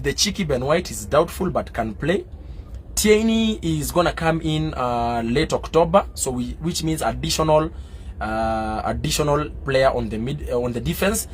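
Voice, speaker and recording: A man from Kenya chatting casually on a live stream as a football fan, answering viewers with many fillers and getting excitable and loud. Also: medium pitch, a little hiss or hum.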